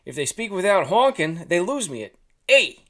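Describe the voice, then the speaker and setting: Storybook goose, played by a man: Jersey accent, loud, exasperated, pitched up high. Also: sing-song, moderate pace. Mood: proud, grumbling. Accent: New Jersey. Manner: loud, exasperated, emphatic delivery. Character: brave, excitable, indignant talking goose with broken honk.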